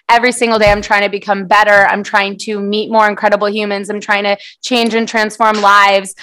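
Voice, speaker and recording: A woman's voice: on the high side, sharp tone. A young American woman, a native Portuguese speaker from San Diego, giving reflective, conversational answers as an interview guest. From San Diego, United States. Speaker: interview guest, musician and publicist.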